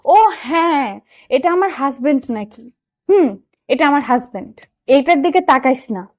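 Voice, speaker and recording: A woman playing a possessive, quick-tempered young wife in an audio story, with a sharp scolding manner. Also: high voice, animated, dry recording, studio quality. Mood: curt, possessive. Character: hot-tempered, possessive young wife, a sassy college student. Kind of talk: scripted audio story acting with first-person narration.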